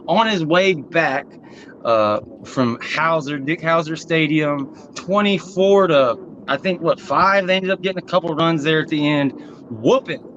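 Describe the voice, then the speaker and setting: A man's voice: a little high, a bit bright. Man hosting sports chat, rambling, lots of fillers, dull lo-fi sound, slight hiss. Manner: loose, rambling, filler-heavy. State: sweaty, rushed. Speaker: podcast host. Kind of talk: casual sports talk show.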